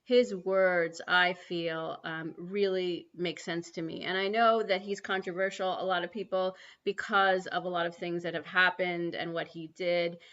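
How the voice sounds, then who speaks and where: Bright-voiced woman on a lo-fi livestream. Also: lowish voice, steady tone, unhurried, few pauses. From New York City. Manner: earnest, many ums and restarts. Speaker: livestream host. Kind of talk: spiritual livestream talk and guided prayer. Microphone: computer mic on a livestream. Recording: dull lo-fi livestream audio.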